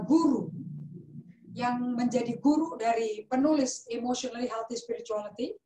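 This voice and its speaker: A woman's voice: high-pitched, echoey room, poor audio. A woman pastor teaching in a conversational sermon style, mixing in English words and asking rhetorical questions.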